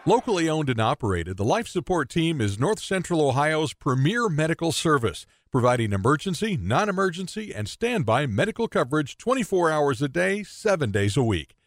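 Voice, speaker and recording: A man's voice: a little high, big pitch swings, a little fast, hardly any pauses, dry recording. An American man reading a scripted radio advert as an announcer.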